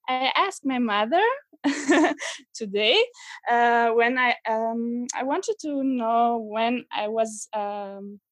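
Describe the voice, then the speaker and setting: A woman from Switzerland, a guest in an interview, speaking haltingly with many fillers. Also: on the high side, no echo. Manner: hesitant, many fillers, laughs as she talks. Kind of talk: casual interview.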